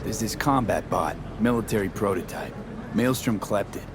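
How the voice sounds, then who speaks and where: Actor as a low-voiced, blunt mercenary. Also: male voice, normal speed, background noise, natural voice. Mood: matter-of-fact. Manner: clipped, blunt, streetwise. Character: tough, terse young male street mercenary. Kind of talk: video game dialogue. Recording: music playing behind the voice.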